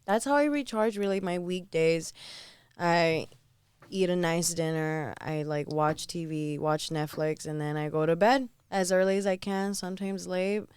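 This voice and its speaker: Bubbly young woman, very clear voice. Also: on the low side, unhurried, no room sound. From Southern California. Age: 20s. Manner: bubbly, animated, laughs often. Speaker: guest DJ. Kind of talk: casual podcast chat.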